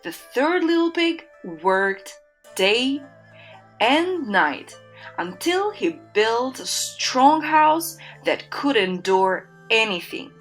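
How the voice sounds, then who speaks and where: A woman narrating a children's fairytale in an expressive storytelling manner. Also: fairly high pitch, slow pace, faint music behind.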